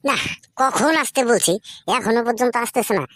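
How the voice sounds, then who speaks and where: A woman's voice: fairly high pitch, fairly quick, a bit bright, no room sound, studio quality. A woman voicing a flustered, grumbling cartoon boss in a colloquial eastern Bengali dialect. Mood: exasperated grumbling. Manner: grumbling, colloquial, rhetorical questions. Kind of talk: comic cartoon skit dialogue.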